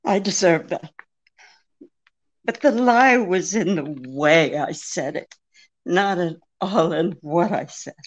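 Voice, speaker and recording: An actress playing a secretive, seemingly helpless femme fatale client in an old-time radio mystery, halting and pleading with a throb in her voice. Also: lowish voice, expressive. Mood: wounded, halting. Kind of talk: old-time radio detective drama re-creation.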